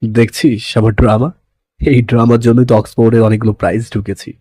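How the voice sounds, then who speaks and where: A man's voice: medium pitch, little pitch movement, brisk, warm tone, slightly rough, no echo, lightly acted. A man playing an intense, possessive young lover in a romantic audio story, pleading dramatically and mixing English words into his speech. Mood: smug, teasing.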